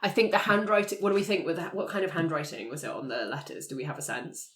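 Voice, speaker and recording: A woman's voice: low voice, lively intonation, quick pace, crowd noise. A woman playing in a roleplaying show, chatting casually, joking and thinking aloud as she improvises. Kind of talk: casual table chat, improvising story.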